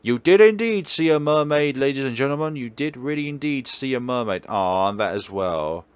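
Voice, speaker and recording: A man hosting a let's play, chatting through a visual novel in an animated way. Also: medium pitch, normal speed, smooth, dry recording.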